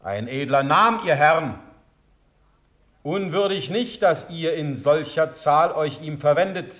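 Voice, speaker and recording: A male actor playing a commanding, imperious prince-elector in a classical radio drama, declaiming in verse with sharp, probing questions. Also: a little high, measured pace. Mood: stern, probing.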